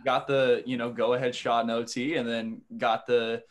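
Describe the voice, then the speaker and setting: An American man with an average-pitched voice hosts a podcast. He speaks in a casual, conversational way, mixing interview questions with sports chat. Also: flat delivery, normal speed. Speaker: podcast host.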